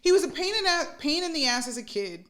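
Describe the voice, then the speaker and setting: A podcast co-host with proper, crisp enunciation who laughs often and swears casually while reviewing a film. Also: high voice, very animated, fast, a bit bright. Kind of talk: casual movie review chat.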